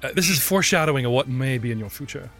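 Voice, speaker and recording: A man's voice: medium pitch, animated, rapid-fire, fairly bright tone, soft background music. A man running a tabletop game as game master, joking and rambling with his players, often restarting his sentences. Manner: playful, rambling, stumbling restarts.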